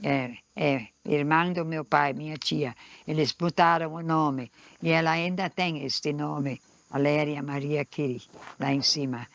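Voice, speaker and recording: An older woman, a retired teacher and principal, recalling her schools in a halting way and often repeating herself. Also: low voice, fairly even intonation, vocal fry, dry recording. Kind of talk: interview reminiscence.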